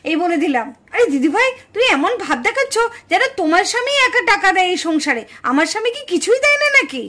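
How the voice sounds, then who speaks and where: A woman's voice: extremely high pitch, sharp tone, heavily acted. A woman voicing a quarrelsome, indignant village daughter-in-law in a puppet cartoon family drama. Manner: scolding, indignant, exclamatory.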